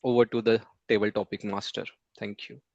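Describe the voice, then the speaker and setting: A man, a Hindi speaker, giving an impromptu speech at a speaking club in a slow, measured way with pauses between phrases. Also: fairly low pitch, little pitch movement, gravelly, a little mellow, no room sound. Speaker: table topics speaker. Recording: dull close mic, dry.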